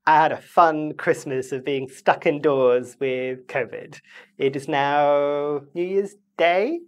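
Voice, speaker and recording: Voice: medium pitch, slow pace. A plant vlogger with a blocked, stuffy nose, rambling casually and correcting themselves as they go. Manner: rambling, self-correcting, friendly asides. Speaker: houseplant video host. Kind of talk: casual chatty plant review.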